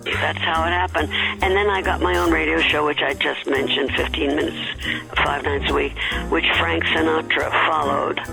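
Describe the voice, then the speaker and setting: Older woman, interview subject, chatty and anecdotal, gravelly. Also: sits low, steady tone, normal speed, over music, rough recording. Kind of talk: reminiscing interview.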